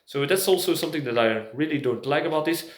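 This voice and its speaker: A man's voice: a little high, fast talker. An English-speaking man gives a casual product review, hesitantly searching for words. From Belgium. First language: not English. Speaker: prepper video host. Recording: echoey room.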